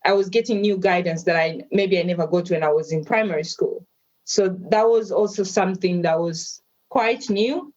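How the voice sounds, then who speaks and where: A woman, telling her life story as an interview guest in a reflective, measured way. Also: on the low side, a little mellow, muffled recording. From Tanzania.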